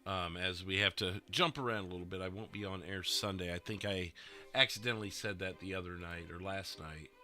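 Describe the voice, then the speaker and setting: A man chatting casually with his stream viewers, his voice a little rough and stuffy, rambling and laughing often. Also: low-pitched, brisk, sharp tone. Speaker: livestreamer playing a roleplay dispatcher.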